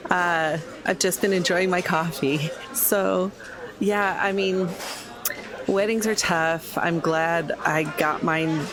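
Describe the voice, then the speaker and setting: Woman playing a hesitant researcher, creaky voice. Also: sits low. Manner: hesitant, soft-pedalling. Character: mild, hesitant married woman researcher, polite and uneasy.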